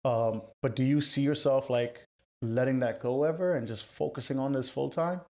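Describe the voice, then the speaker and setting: A man's voice: medium pitch, normal speed, a little mellow. A man hosting a podcast, asking questions in a chatty way with many fillers and restarts. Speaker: podcast host. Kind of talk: casual interview chat.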